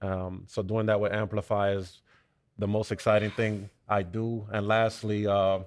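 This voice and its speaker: A young man from Cuba speaking as a panel guest, earnest and halting, with frequent fillers and restarts. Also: fairly low pitch, level tone. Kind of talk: panel discussion, conversational.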